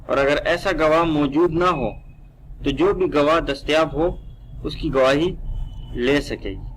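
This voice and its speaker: A man delivers a law lecture at a slow, deliberate pace. He reads formal clauses aloud and explains them in an instructional way, with a mid-pitched voice and faint background noise. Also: fairly bright tone, poor audio. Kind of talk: reading statute in English and explaining it in Urdu.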